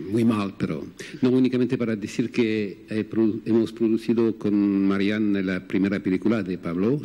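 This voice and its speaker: A man whose first language is French speaks halting Spanish at a press conference, stopping often to hunt for the right word. His voice sits low and mellow. The accent is plainly French. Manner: halting, searching for words. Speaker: film producer.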